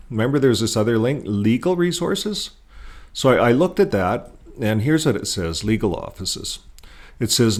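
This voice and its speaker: Low-voiced man rambling through commentary. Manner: rambling, thinking aloud. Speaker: online commentator. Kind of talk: casual commentary while reading from web pages.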